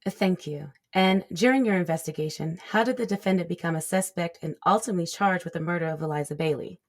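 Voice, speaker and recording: A woman playing a stern, accusatory prosecutor in a scripted courtroom drama, with a formal, measured, pointed delivery. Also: fairly low pitch, natural voice.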